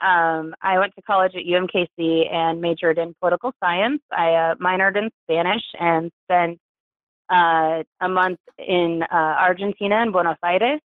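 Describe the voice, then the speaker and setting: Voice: mid-range voice, no room sound. An American in their thirties from New Mexico, telling personal stories as an interview guest in a candid, hesitant way. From New Mexico, United States. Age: 30s. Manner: hesitant, chuckles through hard subjects. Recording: tinny phone-like radio sound.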